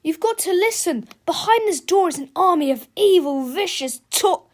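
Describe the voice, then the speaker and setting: Young storybook schoolboy, high and excitable, pleading with urgency woman reader acts it. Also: fairly quick, close to an everyday voice. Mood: urgent, pleading. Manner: urgent, exclaiming.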